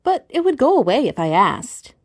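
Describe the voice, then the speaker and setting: Animated, higher-pitched young woman by an actress. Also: normal speed, husky, exaggerated voice. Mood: earnest.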